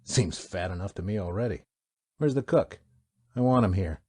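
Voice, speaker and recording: A man playing a gruff spaceship captain who gives curt orders. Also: low-pitched, normal speed, darkish tone. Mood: brusque. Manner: brusque, commanding.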